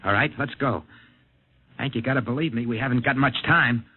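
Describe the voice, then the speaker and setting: An actor playing a desperate, haunted rocket test pilot in a mid-century radio drama, speaking in an urgent, halting, earnest way. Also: male voice, medium pitch, very noisy, natural voice. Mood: desperate, pleading. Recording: tinny narrow-band vintage radio broadcast, music.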